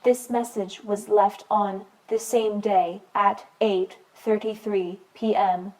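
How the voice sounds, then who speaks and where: A woman playing an impersonal answering machine announcer in a radio play, reading out in a formal, measured way. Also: mid-range voice, level tone, darkish tone, close to an everyday voice, low-quality recording.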